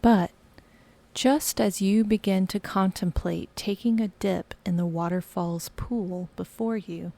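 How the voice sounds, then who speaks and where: Slow, breathy woman guiding a meditation. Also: medium pitch. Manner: soft, slow, partly whispered. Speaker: guided meditation host. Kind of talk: guided meditation narration.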